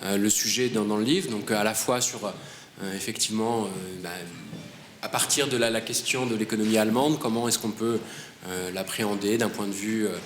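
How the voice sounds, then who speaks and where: Brief: man, low voice, halting panel talk, lots of fillers and restarts, roomy hall with faint noise. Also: steady tone. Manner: hesitant, many fillers and restarts. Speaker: award-winning author. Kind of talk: panel presentation. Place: conference hall.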